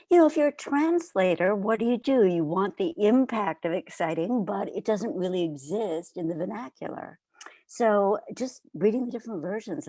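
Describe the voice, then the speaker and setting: A woman's voice: medium pitch, a little mellow, dry recording. Woman telling an anecdote, dry sound. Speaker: reading group participant. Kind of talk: casual group discussion, anecdote.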